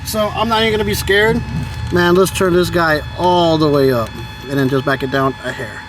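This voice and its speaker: A man giving casual, excited vlog commentary as host of a car audio channel, with an informal, slangy, hyped-up delivery. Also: a little high, normal speed, noisy background.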